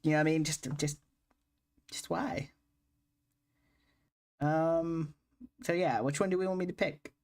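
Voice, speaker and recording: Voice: mid-range voice, fairly even intonation, measured pace, long pauses, a little mellow. A streamer commenting casually on a video game, mocking it with frequent laughs and swearing.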